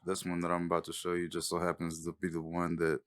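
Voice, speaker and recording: A man giving a casual introduction as a writer-director, speaking in a hesitant, halting way. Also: low voice, monotone. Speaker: writer-director presenting a recording.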